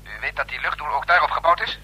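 Sharp military man on telephone. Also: on the high side, expressive, fast talker, breathy edge, full character voice. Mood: doubtful, startled. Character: startled, questioning military men answering the president's telephone calls. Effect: telephone filter. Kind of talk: radio drama acting.

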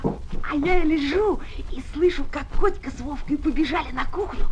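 A woman's voice: high voice, normal speed, lightly acted. An actress playing a small mischievous boy who tells his own story expressively, in children's radio play style. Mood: storytelling. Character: small boy narrating his hide-and-seek game, mischievous. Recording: noisy old 1970s flexi-disc record.

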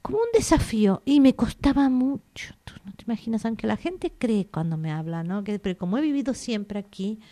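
A woman's voice: fairly high pitch, expressive, fairly quick, warm tone. Thoughtful Argentine-born woman author. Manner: thoughtful, digressive, searching for words. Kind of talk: relaxed interview conversation.

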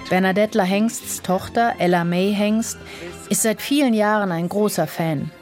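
A woman narrating a radio feature in a measured, matter-of-fact way. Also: mid-range voice, a little mellow, faint music behind.

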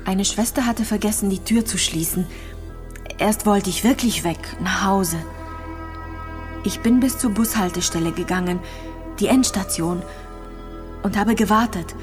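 An actress playing a gravely ill, lonely young woman in a radio drama, speaking haltingly as she recalls things and repeats herself. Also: medium pitch, lightly acted. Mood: wistful, lonely. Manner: halting, searching, repeats phrases. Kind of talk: radio drama monologue, intimate recollection. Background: music.

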